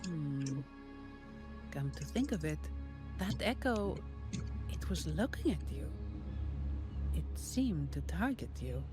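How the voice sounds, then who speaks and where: A composed young female guard and guide for a video game. Her voice sits a little low and stays measured and businesslike. She speaks formally and dutifully over clearly audible game music. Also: big pitch swings, full character voice. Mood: cool, matter-of-fact. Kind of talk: scripted video game dialogue.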